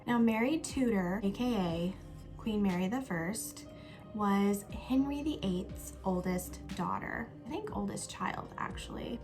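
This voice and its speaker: A young woman hosting a casual vlog, chatting in an upbeat way while doing her makeup, sometimes dropping to a soft whisper. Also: mid-range voice. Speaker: YouTube host.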